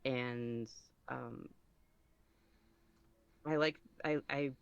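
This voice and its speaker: A woman's voice: a bass voice, steady tone, very slow, vocal fry, clear, resonant tone, no echo. A woman chatting casually as an interview guest, hesitant, rambling and self-deprecating, on a dull, muffled recording. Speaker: podcast guest, ASMR roleplay creator.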